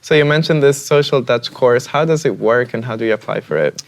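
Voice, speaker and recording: A man hosting a talk show panel, affirming guests and asking follow-up questions. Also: mid-range voice. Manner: affirming, drawn-out phrasing. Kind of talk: moderating a panel.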